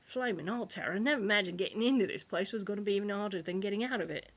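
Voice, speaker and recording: A woman's voice: mid-range voice, rapid-fire, close to an everyday voice. A woman voicing a brash, threatening male alien kidnapper, impatient and sarcastic, in a comic sci-fi audiobook. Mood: muttering, irritated. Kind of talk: humorous sci-fi audiobook character.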